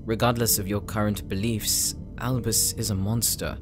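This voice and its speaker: A man as a grave, lowish-voiced wary brother. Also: fairly even intonation, moderate pace, lightly acted. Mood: wary, concerned. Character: serious, wary, dutiful brother, disapproving and earnest. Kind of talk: anime-style audio roleplay acting. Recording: prominent music behind the voice.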